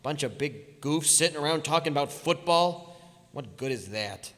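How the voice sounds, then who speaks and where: An actor playing an evasive teenage son in a family radio drama, stammering around the truth. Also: male voice, on the high side, lively intonation, normal speed, a bit bright. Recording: reverberant live hall.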